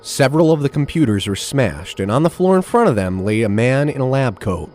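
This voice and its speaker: A man's voice: mid-range voice, big pitch swings, fairly quick, a little mellow. A man narrating an audio drama in a scripted storytelling style.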